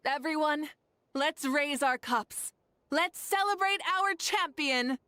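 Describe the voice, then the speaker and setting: An actress playing a confident, gracious young woman in a video game, speaking in a ceremonious, measured way. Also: high-pitched, bright, forceful tone, no echo, heavily acted. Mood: proud, celebratory.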